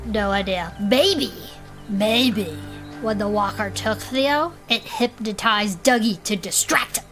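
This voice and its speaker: A woman's voice: mid-range voice, takes it slowly, over music, close to an everyday voice. A woman playing a plucky, kindly, practical amateur sleuth in an audio drama, earnest, folksy and eager. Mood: earnest, reasoning.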